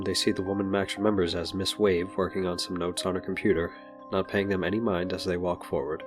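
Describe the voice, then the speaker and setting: A man's voice: low-pitched, close to an everyday voice. A man narrating a scripted superhero audio drama. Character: narrator of a superhero adventure story.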